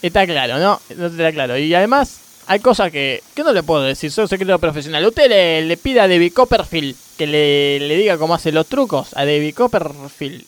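A man plays a defensive, absurd mechanic with an Argentine accent. His voice is fairly high and very clear, and he stumbles through repeated excuses in radio sketch style. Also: expressive, a little fast, hardly any pauses, lightly acted. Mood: stubborn, evasive. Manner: hesitant, repetitive excuses. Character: evasive, absurd male car mechanic, stubborn and defensive. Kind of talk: improvised-sounding radio comedy sketch.

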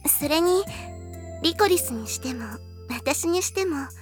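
A woman playing a gentle, caring older-sister girl in an anime audio drama, speaking softly and politely. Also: high voice, expressive, unhurried. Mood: gentle, reflective. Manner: soft, polite, formal speech. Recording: music bed under the voice. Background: music.

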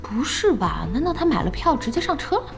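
An actress playing a spirited, outspoken young female boss in a radio drama, with a brisk and expressive manner. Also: high voice, vocal fry, soft background music, exaggerated voice. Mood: worried. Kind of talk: Chinese radio drama acting.

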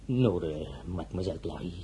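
A courtly general pressing his suit in a radio play. The voice is lowish and mellow, swinging expressively through halting, ingratiating phrases. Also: animated, breathy edge, exaggerated voice. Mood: wooing, halting. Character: smooth, hesitant French general courting as suitor. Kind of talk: radio drama acting.

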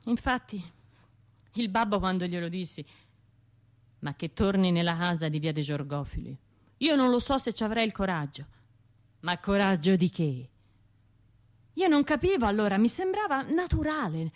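An actress with a Tuscan accent playing a wounded, self-reproaching bombing survivor in an intimate radio drama monologue, halting and colloquial. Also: female voice, mid-range voice, very animated, natural voice. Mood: wistful remembering. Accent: Tuscan Italian. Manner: halting, colloquial, shifting between murmurs and outbursts.

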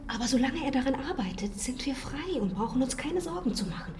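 Scared secretive young opera girl, radio play, highish lively voice, hushed and urgent. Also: fairly quick, noisy background. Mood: anxious, secretive. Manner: breathy hushed urgency, breaking into sharp commands. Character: frightened, secretive young female opera singer. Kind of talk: German radio play acting.

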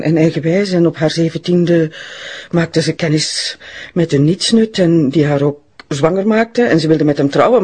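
An actress with a Flemish accent playing a middle-aged woman doctor in a radio drama, polite and guarded, with halting phrasing. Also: on the low side, a little mellow, husky.

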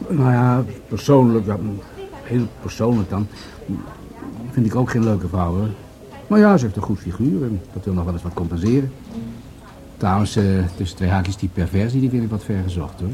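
An actor playing a genial, unhurried police commissioner in a Dutch radio drama, musing and gently teasing as he talks. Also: on the low side, animated, lightly acted, rough recording.

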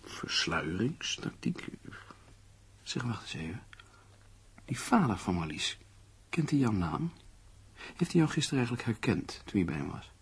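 Radio play: persuasive, dismissive male friend, lively intonation, rough unclear sound. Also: fairly low pitch, unhurried, pauses often, heavily acted. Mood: probing, dismissive. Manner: argumentative, theatrical, persuasive. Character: glib male friend, needling, reasoning, dismissive of danger. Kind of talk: Dutch radio play acting.

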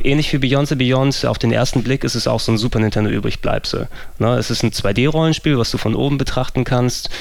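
A man's voice: mid-range voice, gravelly, dry recording. A German man chatting casually as a podcast host, rambling with many fillers and restarts. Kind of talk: casual gaming podcast chat.

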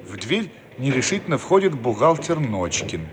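Guy narrating an old radio play, scripted, medium pitch, clear hiss on the archive recording. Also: big pitch swings, normal speed. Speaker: radio play narrator reading stage directions.

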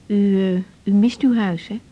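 An actress playing a young Dutch doctor, gentle, attentive and a little tentative, in a radio drama. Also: female voice, medium pitch, steady tone, measured pace, highs cut off, natural voice. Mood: attentive, reassuring.

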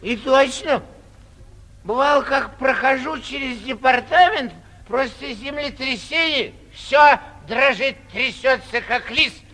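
A man's voice: extremely high pitch, bright, forceful tone, exaggerated voice. An actor playing a boastful, tipsy young man who gets carried away, in theatrical 1940s radio drama style. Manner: drawn-out bragging with sudden swells and drops.

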